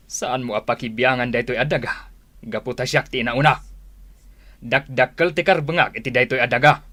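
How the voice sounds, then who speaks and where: An actor with an Ilocano accent playing a greedy, scheming older brother in a radio drama, mocking and laughing. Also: male voice, medium pitch, fairly even intonation, normal speed, pauses often, bright, forceful tone. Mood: scornful. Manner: mocking, frequent sneering laughs.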